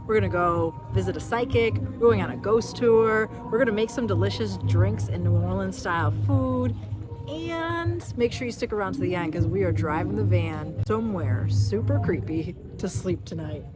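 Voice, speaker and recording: A woman's voice: mid-range voice, animated, moderate pace, over music. A woman hosting a travel vlog, chatting casually to the camera.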